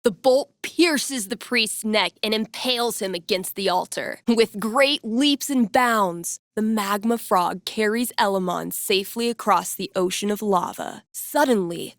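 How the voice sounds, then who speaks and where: A woman's voice: mid-range voice, a little slow, few pauses, no echo, studio quality, close to an everyday voice. An actress playing a wry, slangy young woman who DJs and runs tabletop games, in a video game. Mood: dramatic narrating. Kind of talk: video game character inner monologue.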